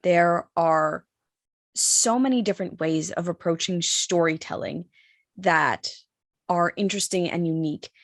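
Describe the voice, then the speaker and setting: A woman on a discussion panel, giving measured advice as an audio drama creator. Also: fairly low pitch, dry recording, clean studio sound.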